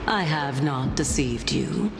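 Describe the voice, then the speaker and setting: A woman's voice: a bass voice, takes it slowly, gravelly, noisy background, heavily acted. An actress playing a mysterious, teasing, elegant woman in a video game, composed and leisurely, with music behind her. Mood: coolly amused. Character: Lady Calypso, mysterious sly teasing woman, elegant and enigmatic. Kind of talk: scripted video game acting.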